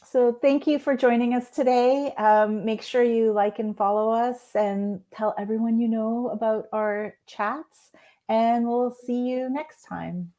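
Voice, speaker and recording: A woman's voice: on the high side, fairly even intonation, unhurried, dark tone. A woman co-hosting a casual writing chat, easygoing and affirming, wrapping up with friendly calls to the audience. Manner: easygoing, affirming, brief agreeing remarks. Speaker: podcast co-host. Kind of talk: casual conversation about writing.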